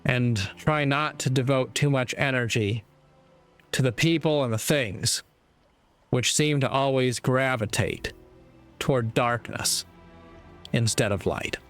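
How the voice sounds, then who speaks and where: A man delivering a reflective motivational monologue in a measured, earnest way, with deliberate pauses. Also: medium pitch, a bit bright, soft background music. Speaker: speaker giving moral reflections.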